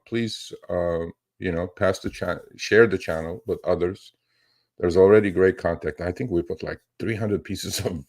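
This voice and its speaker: Deep-voiced man rambling on a livestream. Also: darkish tone. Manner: rambling, emphatic, often checks with "okay?" and "right?". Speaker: live stream host, investing educator and trader. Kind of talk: casual unscripted livestream talk.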